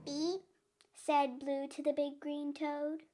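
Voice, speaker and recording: A young reader with an expressive, deliberate delivery, reading a picture book aloud and acting out animal sounds. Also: high-pitched. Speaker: storybook reader.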